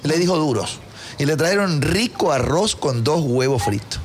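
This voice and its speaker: Animated-sounding Ecuadorian man with higher-pitched voice. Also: moderate pace, slight background noise. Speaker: radio show host. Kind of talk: casual banter and informal sports talk.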